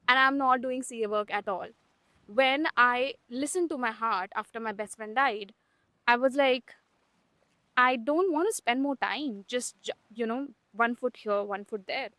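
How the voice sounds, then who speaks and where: High-voiced, blunt young woman host. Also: normal speed, pushed and bright, no echo. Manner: emphatic and blunt, swearing for emphasis. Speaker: podcast host. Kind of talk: candid conversational podcast talk.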